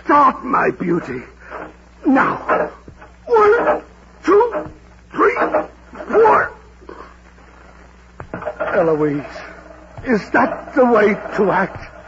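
Roguish male cab driver: slow, strained high delivery, exasperated bluster, heavy noise on vintage radio. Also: huge pitch swings, heavily acted. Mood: coaxing, exasperated. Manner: coaxing, exasperated, theatrical. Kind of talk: Golden Age radio drama acting. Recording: noisy, dull old radio recording.

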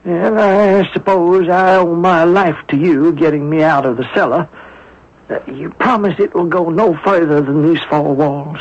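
High male voice, husky, older antique dealer, wary yet talkative, halting repetitions, radio play. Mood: confiding. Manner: halting, repeating words in agitation. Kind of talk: radio drama acting. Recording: dull lo-fi 1970s radio broadcast.

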